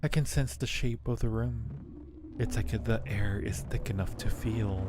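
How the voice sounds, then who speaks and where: A man's voice: fairly low pitch, fairly even intonation, unhurried, background noise, lightly acted. A man voicing a lovestruck undead acolyte from a horror game, narrating with earnest, brooding resignation. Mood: hushed awe. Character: devoted undead cave acolyte, fatalistic and lovestruck. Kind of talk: dramatic reading of game narration. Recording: music behind the voice.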